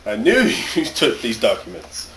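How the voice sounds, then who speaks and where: A man's voice: medium pitch, wildly swooping pitch, a little slow. A man playing a bumbling, overeager government agent in an amateur comedy skit. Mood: intrigued, self-important. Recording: echoey room with faint hiss.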